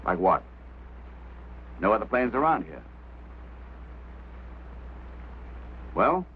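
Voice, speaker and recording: On a tinny, noisy vintage soundtrack, a brusque mining boss, a man who gives orders and brushes off doubts. His pitch moves widely as he speaks, in old screen drama style. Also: very animated, moderate pace. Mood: curt. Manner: commanding, dismissive. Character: brusque, impatient, skeptical mining company boss. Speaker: actor. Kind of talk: mid-century screen drama acting.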